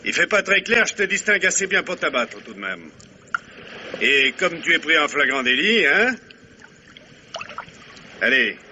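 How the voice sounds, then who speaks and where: An actor playing a dry, ironic middle-aged police commissioner in a 1950s radio drama. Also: male voice, on the high side, slight background noise, full character voice. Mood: stern threat. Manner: dry, ironic, calm authority. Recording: muffled old radio recording.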